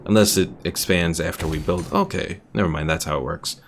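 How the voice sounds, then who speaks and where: A man's voice: sits low, brisk, slight background noise. A man streaming a video game, giving casual, laid-back, chatty commentary as he plays. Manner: laid-back, chatty, reading chat aloud. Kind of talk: casual live gameplay commentary. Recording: game music faintly behind. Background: video game music.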